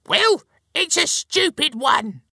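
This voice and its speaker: Storybook grumpy wife: very high-pitched, sharp, scornful, dopey and snorting. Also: male voice, sing-song, unhurried, vocal fry, no echo, clean studio sound, heavily acted. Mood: indignant. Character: dopey, grumpy, ugly middle-aged wife, scornful and bellowing.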